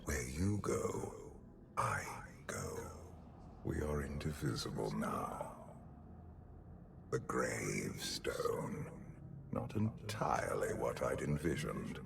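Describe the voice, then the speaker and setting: An ancient, imperious game emperor speaks at a slow, ominous pace. The voice is extremely low and gravelly, patient and manipulative, over clear background noise. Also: male voice, very animated, full character voice. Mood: ominous, measured. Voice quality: deep gravelly voice.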